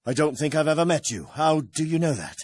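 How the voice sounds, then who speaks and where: A man's voice: a little high, a little fast, darkish tone, no echo, natural voice. An actor playing an earnest, proud young male noble soldier in a video game, with an intense, questioning delivery. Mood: wary. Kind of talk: dramatic video game cutscene acting. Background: music.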